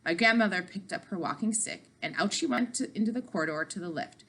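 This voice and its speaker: A woman narrating a children's book aloud with animated, dramatic emphasis. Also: mid-range voice, fairly quick, slightly rough. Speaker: read-aloud storyteller.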